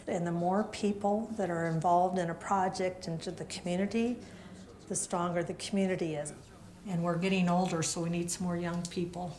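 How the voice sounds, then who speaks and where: A woman's voice: fairly low pitch, a little mellow. A woman, a community volunteer speaking earnestly in an interview. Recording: echoey room.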